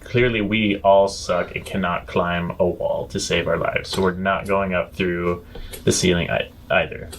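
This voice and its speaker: A man playing a tabletop role-playing game, talking in character in a measured, dry, reasoning way. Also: deep voice, fairly even intonation, creaky, fairly bright tone, a little hiss or hum, poor audio. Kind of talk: in-character improvised role-play.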